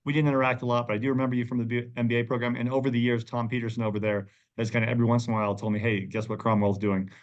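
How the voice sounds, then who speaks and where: A man's voice: mid-range voice, little pitch movement, rapid-fire, hardly any pauses. A middle-aged man hosting a podcast in a conversational interview style. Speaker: podcast host. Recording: dull, slightly lo-fi sound.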